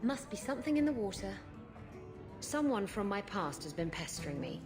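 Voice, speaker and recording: An actress playing a brazen, flirtatious pirate captain in a fantasy video game, with a sultry, teasing manner. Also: female voice, mid-range voice, very animated, normal speed, music in the background. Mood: sly.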